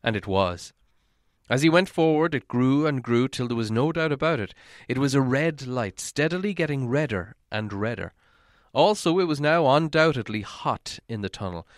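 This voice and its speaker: A man's voice: mid-range voice, expressive, moderate pace, no echo. Dramatic male storyteller, dry close sound. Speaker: audiobook reader.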